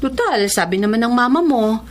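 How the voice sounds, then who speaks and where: A woman's voice: on the high side, animated, moderate pace, slight background noise, natural voice. A woman with a Filipino accent playing a caring, encouraging teacher of blind students in a radio drama, motherly and reassuring.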